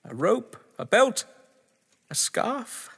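An actor playing a frightened, indignant husband caught in a deadly game, speaking in an articulate, theatrical audio drama style. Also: male voice, very high voice, very animated, takes it slowly, vocal fry, a bit bright, breathy edge, full character voice. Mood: tense, scornful. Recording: long reverb, background noise.

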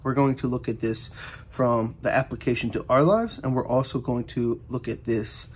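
A man's voice: medium pitch, steady tone, normal speed, slight background noise. A man explains a Bible passage in an earnest, informal teaching voice. He often repeats phrases and restarts his sentences with fillers, as if thinking aloud while he points through the page. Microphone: voice-over on an overhead camera view of an annotated Bible.

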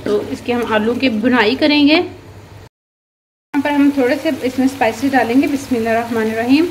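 A woman's voice: a little high, normal speed, slight background noise, low-quality recording. A woman narrating a home cooking recipe as a channel host, instructive and sprinkling in religious blessings. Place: home kitchen.

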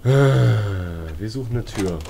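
A man's voice: on the low side, expressive, extremely slow, vocal fry, noisy background. A man giving casual, colloquial, joking commentary as he plays a horror game, talking to himself and his viewers. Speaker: let's player.

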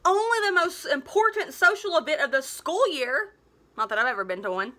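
A woman's voice: sits high, big pitch swings, brisk, pushed and bright, heavily acted. A woman reading a storybook as an exasperated, know-it-all schoolboy, explaining things emphatically. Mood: incredulous. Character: exasperated, unpopular, know-it-all eighth-grade boy.

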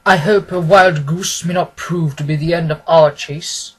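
A man's voice: a little high, fairly even intonation, a little slow, slightly rough, rough recording. A man voicing a solemn, respectable bank director in a storybook, mournful and stiffly formal. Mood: gloomy.

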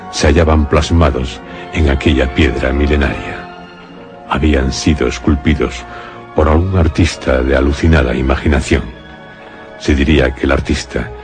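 A man narrating a gothic suspense radio drama in a grave, scripted style. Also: very deep voice. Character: grave male narrator of a gothic horror tale.

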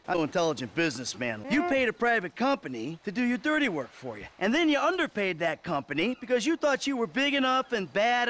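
A sardonic middle-aged criminal, lively pitch. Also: male voice, exaggerated voice. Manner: sardonic, deliberate speechifying. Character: sardonic middle-aged male career criminal, smug, weary. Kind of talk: video game cutscene acting. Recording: music over game dialogue.

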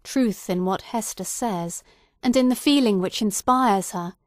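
A woman's voice: medium pitch, measured pace, no echo, studio quality, close to an everyday voice. A woman reading the part of a nervous young male clergyman who speaks earnestly and solemnly.

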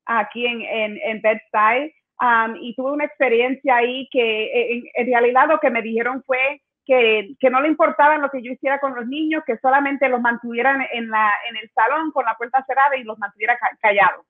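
A woman's voice: on the high side, fairly even intonation, moderate pace. A middle-aged woman from Brooklyn answering interview questions earnestly over a video call from home, often restarting her words. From New York, Brooklyn. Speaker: mayoral candidate guest. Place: home room with bookshelves. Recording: tinny phone-like video call audio.

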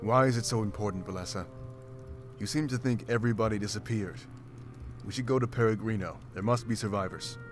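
An actor playing a big, gruff, pragmatic male wolf-like warrior in a video game, serious and firm. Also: lowish voice, fairly quick, soft background music, lightly acted. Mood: urgent, firm.

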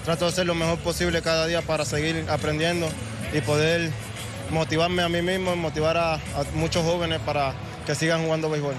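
A young man, a baseball player, answering in a relaxed way in an outdoor postgame field interview. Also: on the high side, fast, over music. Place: outdoors on a ballfield. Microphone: handheld microphone.

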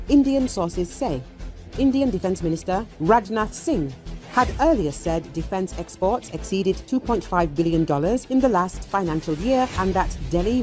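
A woman narrates the news in a lower register, keeping a steady, measured newsreader cadence. Faint music plays under her voice-over. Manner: even, measured newsreader delivery. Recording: music bed behind voice-over.

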